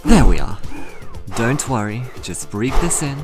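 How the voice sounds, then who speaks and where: A composed cartoon demon doctor, voiced by a man, speaking slowly and matter-of-factly. His pitch moves in big theatrical swings. Faint music sits behind the voice. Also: very animated, a little mellow. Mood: calm, professional. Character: composed male demon dentist, matter-of-fact. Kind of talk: animated audio comedy skit acting.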